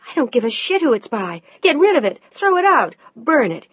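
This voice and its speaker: A wife on the edge of tears, her voice pitched up high and loud, sharp and fierce. A woman reader acts her. Dry, dull recording. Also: animated, brisk, no room sound. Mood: angry. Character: upset new wife, tearful and fierce.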